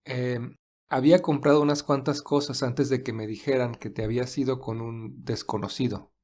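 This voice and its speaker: A man playing a gentle, caring, protective friend, soft-spoken and concerned, in an audio drama. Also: medium pitch, level tone, moderate pace, lightly acted. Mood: hesitant.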